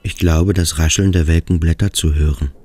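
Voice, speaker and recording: A man in a radio play acting a brooding, dreamy schoolboy who muses in deliberate, poetic phrases. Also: sits low, fairly even intonation, a little mellow, no echo, soft background music. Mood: hushed, brooding. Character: brooding, dreamy adolescent schoolboy lost in his sensations. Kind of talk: German radio play acting, introspective monologue and dialogue.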